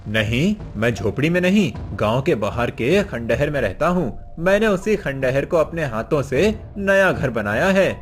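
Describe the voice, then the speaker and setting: A man's voice: medium pitch, animated, measured pace, few pauses, lightly acted. A man playing a poor, determined village father in a cartoon story, speaking in an earnest, declaiming way. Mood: earnest, reassuring. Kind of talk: cartoon story character acting. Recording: music behind the voice.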